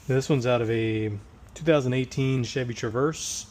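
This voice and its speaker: A man with a hoarse voice, recovering from tonsillitis, casually talking through a transmission teardown as he works. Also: medium pitch, normal speed, heavy background noise. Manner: plain-spoken, working while talking. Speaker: transmission rebuilder. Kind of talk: casual shop walkthrough.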